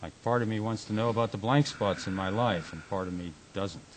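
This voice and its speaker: Man acting anguished radio-drama husband, low pitch, brooding, halting, haunted, hissy recording. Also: close to an everyday voice, low-quality recording. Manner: brooding, halting, swinging from hushed to shouting. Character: anguished husband haunted by nightmares and memory gaps. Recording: hissy amateur workshop recording.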